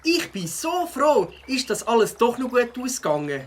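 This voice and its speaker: A cheerful young boy from a children's audio play, voiced by a woman. The voice is high and very animated, eager and singsong. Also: moderate pace. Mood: relieved.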